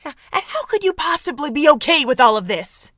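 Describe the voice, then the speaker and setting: High, exasperated young woman played by an actress. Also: big pitch swings, brisk, a bit bright. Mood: outraged. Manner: exasperated, incredulous. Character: indignant, frightened young woman caught in a heist. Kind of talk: amateur audio drama acting.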